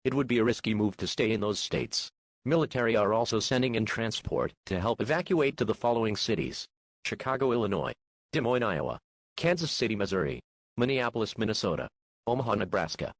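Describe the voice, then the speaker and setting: A man's voice: on the low side, animated, brisk, dry recording. A man playing a stern official announcer, reading a scripted emergency alert in a formal, instructing way. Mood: stern, urgent.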